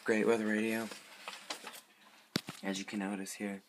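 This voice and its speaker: A man's voice: fairly low pitch, level tone, measured pace, background noise. A man casually showing off a collection as a hobbyist, rambling and repeating short phrases of praise. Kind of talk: casual product show-and-tell.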